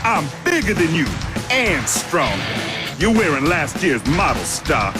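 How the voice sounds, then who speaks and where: A boastful armored mercenary, swooping high. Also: male voice, very animated, measured pace. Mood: gloating, taunting. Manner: taunting, gloating. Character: boastful hulking armored male mercenary villain. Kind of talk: video game voice acting. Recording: loud music under the voice.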